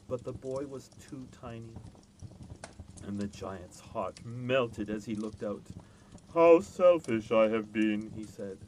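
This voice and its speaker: A man reading a classic children's story aloud as an expressive scripted narration. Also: medium pitch, a little hiss or hum, rough recording. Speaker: storyteller, reader.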